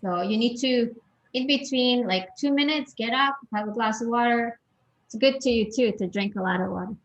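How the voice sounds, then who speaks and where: A woman's voice: on the high side, normal speed. A woman from Europe gives advice as a guest expert in a panel talk. Her voice is strong, and she restarts often and repeats words as she goes. The sound is dull and lo-fi, like an online call.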